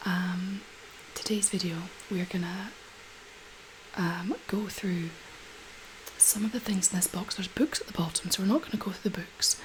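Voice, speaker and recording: Female ASMR host, 30s, lowish whispery voice with a husky edge, gentle delivery, faint background noise. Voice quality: breathy, whispery, slightly husky. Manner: gentle, hushed, unhurried. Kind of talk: soft-spoken ASMR, casual chat.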